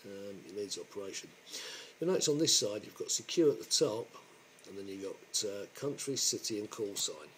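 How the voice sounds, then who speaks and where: A man walks through a computer program informally, step by step. His delivery is halting, and the homemade camera-microphone recording carries faint noise. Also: on the low side, expressive, fairly bright tone. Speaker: software demonstrator. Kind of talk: informal tutorial narration. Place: indoor room, filming a computer screen.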